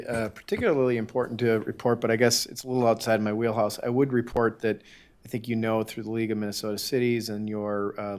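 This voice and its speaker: A man with a low, steady voice that stays level. He speaks as the city attorney at a council meeting and delivers his report in formal, careful terms. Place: council chamber.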